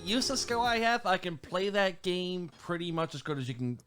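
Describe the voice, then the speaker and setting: Higher-pitched lively man gaming. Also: fairly quick, over music. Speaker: livestream gamer and co-host. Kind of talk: casual joking chat.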